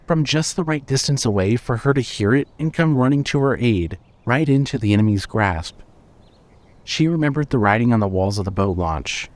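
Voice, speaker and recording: A man reading scripted narration for a horror fiction audio drama. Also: mid-range voice.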